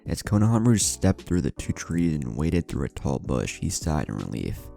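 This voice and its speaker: A man's voice: a bass voice, big pitch swings, normal speed, creaky, dark tone. A man reading scripted fan fiction as a story narrator, with music playing underneath. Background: background music.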